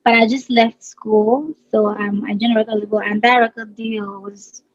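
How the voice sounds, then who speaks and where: A Nigerian woman in her thirties, a singer chatting casually in an interview, relaxed and quick to laugh, her sentences trailing off softly. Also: a little high, lo-fi sound.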